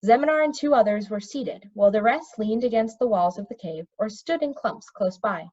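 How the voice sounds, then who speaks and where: A woman reading a children's fantasy novel aloud as an expressive storybook narrator. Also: medium pitch, normal speed. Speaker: storybook reader. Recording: dull, lo-fi recording.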